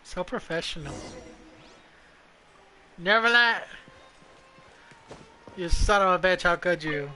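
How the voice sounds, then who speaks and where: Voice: medium pitch, animated, takes it slowly, pauses often, pushed and bright, low-quality recording. A streamer giving casual, crude and irreverent game commentary, sometimes reading the dialogue aloud.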